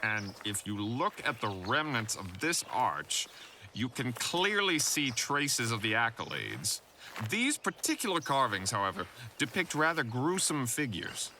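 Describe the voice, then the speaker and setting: A man's voice: mid-range voice, sing-song, unhurried, sharp tone, slight background noise, full character voice. A man voicing a prim, learned professor who lectures formally and precisely on a field trip in an audio drama. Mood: lecturing, encouraging.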